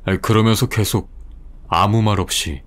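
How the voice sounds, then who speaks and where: A man doing a gruff, weary veteran detective. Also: lowish voice, steady tone, moderate pace, close to an everyday voice. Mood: grim, appalled.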